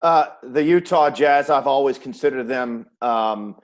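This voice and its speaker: A man with a medium-pitched voice hosts a sports chat. He speaks conversationally and builds up to his questions in a measured way. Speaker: host, sports announcer.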